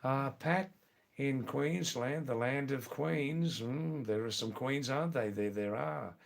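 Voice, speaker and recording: An older man with an Anglo-Indian accent hosts a relaxed live stream and answers viewers one by one. His talk rambles along, full of ums, restarts and friendly asides. His pitch sits in an ordinary middle range. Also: takes it slowly, vocal fry. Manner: rambling, chatty, many fillers and restarts. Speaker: livestream host. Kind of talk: casual live-stream chat reading viewer comments.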